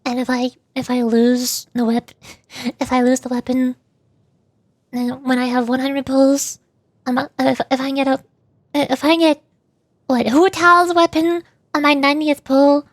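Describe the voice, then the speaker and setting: A woman hosting a casual gaming livestream, chatting in an animated, playfully whiny way. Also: high-pitched, unhurried, no echo, studio quality. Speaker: VTuber livestream host.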